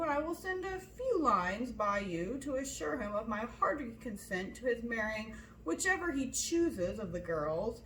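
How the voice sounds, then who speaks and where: A woman voicing a sarcastic middle-aged father in a storybook reading, measured, wry and mock-polite. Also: a little high, a bit bright, reverberant, distant mic, a little hiss or hum, poor audio. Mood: teasing.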